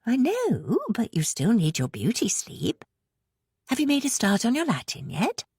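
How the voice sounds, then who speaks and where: An anxious mother played by a woman narrator in an audiobook, coaxing and gently nagging. The voice is breathy and hushed, placating yet insistent, recorded close and dry. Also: fairly high pitch, wildly swooping pitch, a little slow, dry recording, pitched above the performer's own voice, full character voice.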